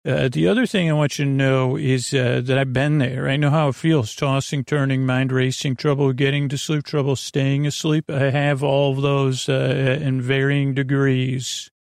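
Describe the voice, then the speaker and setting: Male podcast host, heavy vocal fry, mid-pitched, hesitant, digressive, soothing bedtime rambling. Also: little pitch movement, moderate pace, hardly any pauses, darkish tone, no room sound, studio quality.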